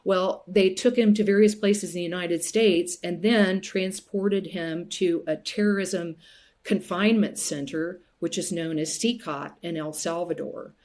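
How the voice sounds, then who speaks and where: A woman speaking as an expert guest, explaining things step by step in a measured, conversational way. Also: fairly low pitch, a little mellow. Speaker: guest legal and policy expert.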